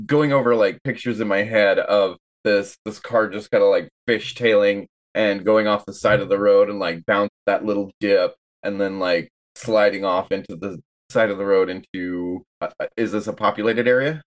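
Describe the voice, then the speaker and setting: A woman's voice: a bass voice, fairly even intonation, moderate pace. A woman playing a tabletop game, chatting casually and loosely in slang. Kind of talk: casual improvised chat.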